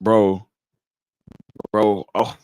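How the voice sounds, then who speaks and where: A man's voice: on the low side, level tone, very slow, darkish tone, a little hiss or hum. A man in his twenties hosting a casual podcast chat, slangy and laid-back, laughing often. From Haiti. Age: 20s. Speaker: podcast host.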